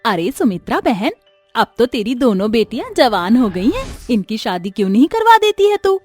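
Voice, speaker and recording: Casting: woman narrator as meddling neighbour matchmaker, colloquial and persuasive, highish pitch, cartoon story with music. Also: big pitch swings, normal speed, a little mellow, slightly rough. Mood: nosy. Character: pushy, gossipy neighbour woman arranging a match.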